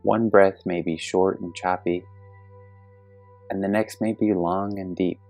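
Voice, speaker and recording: Very deep-voiced man guiding meditation. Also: creaky. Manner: gentle, calm, measured instruction with long pauses. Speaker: meditation guide. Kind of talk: guided meditation narration. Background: soft meditation music with singing bowl tones.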